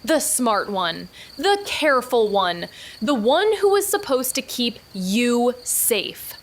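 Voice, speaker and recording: Night insects sit behind a high-pitched young woman. She is guilt-ridden and anguished, confessing slowly and haltingly. It is naturalistic, emotional audio drama. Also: big pitch swings, slight background noise, full character voice. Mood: anguished, defensive.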